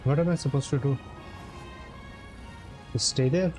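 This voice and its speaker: A man's voice: mid-range voice, measured pace, a little mellow, faint music behind. A man giving casual gameplay commentary as a streamer. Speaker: game streamer.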